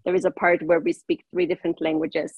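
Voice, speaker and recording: A woman's voice: lowish voice, flat delivery, quick pace, gravelly, slightly rough, dry recording, phone-quality sound. A woman from northern Italy, a native German speaker with a German accent, talking casually as a guest with many ums and hesitations. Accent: German-speaking northern Italian. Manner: hesitant, many ums, laughs between lines. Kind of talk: casual interview.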